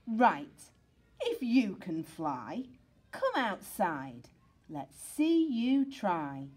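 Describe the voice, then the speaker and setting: A woman's voice: mid-range voice, huge pitch swings, very slow, a little mellow, slightly rough, full character voice. A woman voicing a blunt, mocking schoolboy dog in a storybook, with a challenging, emphatic manner.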